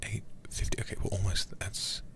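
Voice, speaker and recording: A man livestreaming a game ASMR-style, murmuring to himself and to his viewers close to the microphone. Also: normal speed. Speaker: livestreamer playing a horror game. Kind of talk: whispered ASMR game stream chat.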